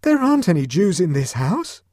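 A man voicing a flustered, indignant middle-aged gentleman who gasps and protests in a high, panicky voice. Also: huge pitch swings, brisk, slightly rough, no room sound, clean studio sound, full character voice. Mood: indignant, panicked. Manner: high-pitched, gasping and panicky.

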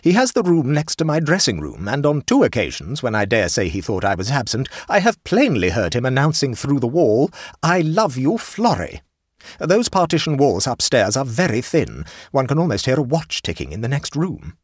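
A man's voice: mid-range voice, wildly swooping pitch, moderate pace, husky, no echo, clean studio sound, pitched above the performer's own voice. A man reading an audiobook, voicing a stern, imperious older aunt who speaks coldly and firmly. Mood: indignant. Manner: cold, firm, magisterial. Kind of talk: audiobook character voice.

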